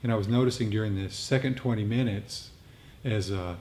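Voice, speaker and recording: A man leading a meditation group, speaking off the cuff in a reflective, halting way with repeated phrases. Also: lowish voice, darkish tone. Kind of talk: unscripted reflective talk on meditation practice. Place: meditation group meeting room. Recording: noisy live room recording.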